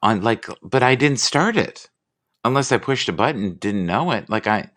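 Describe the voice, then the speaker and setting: A man hosting a casual live chat, relaxed and rambling, who reads inspirational quotes aloud slowly. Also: mid-range voice. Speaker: livestream host.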